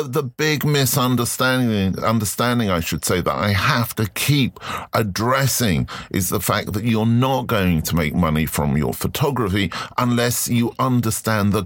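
A man hosting a solo podcast, his voice deepened by illness, talking in a reflective, measured way and giving advice. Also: fairly bright tone, dry recording. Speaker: podcast host.

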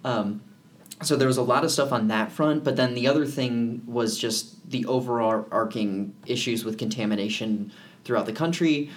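A man co-hosting a podcast, talking in a relaxed, rambling way with many fillers and restarts. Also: medium pitch, moderate pace. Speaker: podcast co-host. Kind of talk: casual podcast chat.

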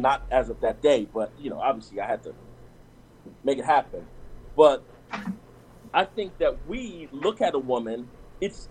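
A man's voice: medium pitch, a little mellow, slight background noise, poor audio. A man on a discussion panel talking casually and telling personal stories, with lots of restarts and "you know what I'm saying.".

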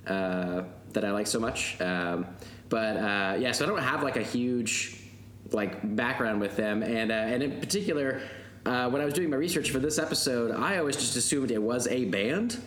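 A man's voice: medium pitch, moderate pace, fairly bright tone, roomy sound, slight background noise. A man in his thirties co-hosting a podcast in casual chat, talking hesitantly with many fillers. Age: 30s. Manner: hesitant, filler-heavy talker. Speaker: podcast co-host.

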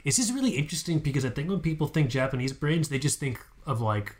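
A man's voice: a little high, lively intonation, quick pace. A man co-hosting a podcast in casual chat, hyped and profane as he plugs the website. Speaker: podcast co-host.

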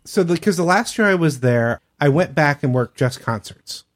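A man with a voice a little higher than average tells anecdotes to friends. His delivery is casual and conversational, like a podcast regular sharing stories. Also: animated, moderate pace.

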